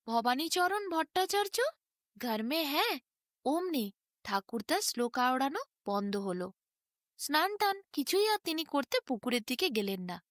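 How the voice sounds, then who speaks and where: Woman, high voice, lively swooping pitch, scripted story narration, expressive, unhurried. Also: dry recording, clean studio sound.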